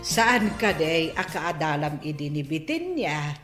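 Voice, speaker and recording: A woman's voice: deep voice, very animated, unhurried, over loud music. An actress playing a patient, reproachful mother of a grown daughter, chiding and pleading in a radio drama. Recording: roomy, echoing drama recording.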